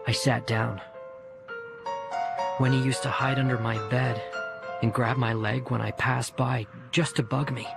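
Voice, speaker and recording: A man's voice: medium pitch, flat delivery, measured pace, creaky, long pauses. A man reading a first-person audiobook story expressively, acting out the dialogue. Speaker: audiobook narrator. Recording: music behind the voice. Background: music.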